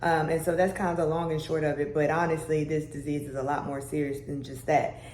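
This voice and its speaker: Young woman vlogger, low deep voice, conversational and chatty, recorded in an echoey room. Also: flat delivery, fairly quick, darkish tone. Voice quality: deeper voice. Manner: chatty, conversational, with "y'all" and "baby" asides. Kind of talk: casual health vlog. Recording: echoey room with faint background hum.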